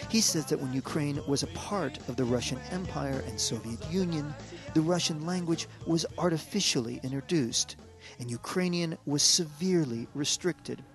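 Radio correspondent: a man with a somewhat high voice filing a steady scripted report over music. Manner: measured, even delivery. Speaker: foreign correspondent.

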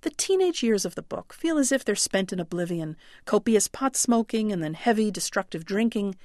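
A woman's voice: medium pitch, lively intonation, normal speed, darkish tone, no echo, studio quality. A woman book critic delivering a scripted radio review in a wry, articulate storytelling tone.

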